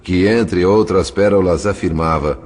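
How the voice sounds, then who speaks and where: Male audiobook narrator, deep register, measured and formal literary storytelling, faint music underneath. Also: a little mellow.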